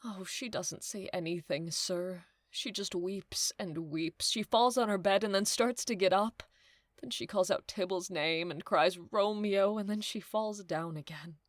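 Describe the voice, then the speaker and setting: A fretful, bossy servant nurse acted by a woman laments in a modern Shakespeare audio play. Her mid-pitched voice pleads and repeats itself, recorded dry and close. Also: unhurried, dry recording, lightly acted. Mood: pitying, mournful.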